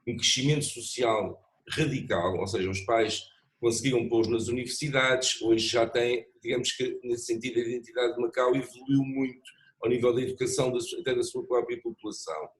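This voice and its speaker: A middle-aged man speaking in a panel discussion, measured and reflective, pausing often and restarting phrases as he builds his points. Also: mid-range voice, fairly even intonation, poor audio. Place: lives in Macau.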